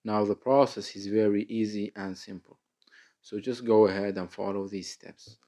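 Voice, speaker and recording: A man narrating a step-by-step phone app tutorial as its host, in a halting, deliberate way. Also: lowish voice, fairly even intonation, warm tone.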